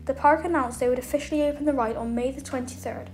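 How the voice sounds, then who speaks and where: A woman's voice: high voice, steady tone, fast talker, darkish tone. A woman reading a scripted documentary narration as the narrator of a video. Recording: roomy echo, faint background noise.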